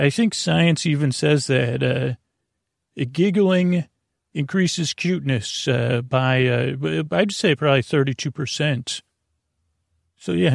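A man hosting a bedtime podcast, rambling and halting as he loses his thread, with gentle, silly deadpan humor. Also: mid-range voice, vocal fry, dry recording, clean studio sound. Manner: meandering, halting, often losing his thread. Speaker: podcast host.

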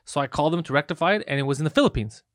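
A man co-hosting a casual podcast chat, telling stories quickly with lots of swearing and laughter. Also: fairly high pitch, expressive, a bit bright, no echo, studio quality. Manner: fast, profane, laughing storytelling. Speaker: podcast co-host. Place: Quebec, Canada.

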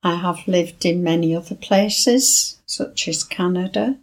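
An elderly woman, answering a granddaughter's questions in brief replies with soft laughs. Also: lowish voice, measured pace. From Lancashire, England. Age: 80s. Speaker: guest storyteller. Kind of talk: casual interview chat.

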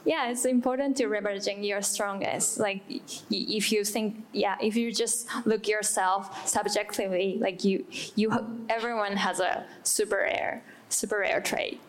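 Japanese-accented woman, halting panel talk. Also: medium pitch, steady tone, slight background noise, rough recording. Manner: halting, searching for words. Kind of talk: live panel discussion.

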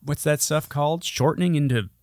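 A man's voice: fairly high pitch, a little fast, darkish tone. A man in his thirties co-hosts a casual podcast chat. He tells stories in a loose, profane, joking way. The recording is dry and free of room echo. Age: 30s. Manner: profane, jokey. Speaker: podcast co-host.

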